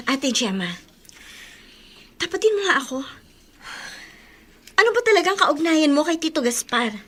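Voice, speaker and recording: A woman's voice: high voice, bright, forceful tone, heavily acted. A Filipino woman acting an accusing, betrayed young sister in a radio drama, speaking with dramatic emphasis.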